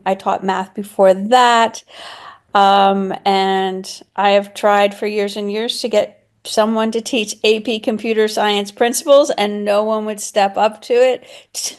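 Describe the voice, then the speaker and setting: A woman teacher introducing herself on a video call, frank and relaxed with many ums. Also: on the high side, smooth. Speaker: chemistry teacher.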